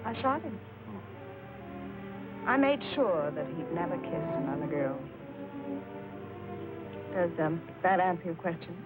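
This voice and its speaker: Cool fugitive, tinny old radio. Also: female voice, lowish voice, animated, unhurried, long pauses, over music. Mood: wistful, cold. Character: cool, polite woman on the run, confessed killer. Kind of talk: old-time radio drama acting.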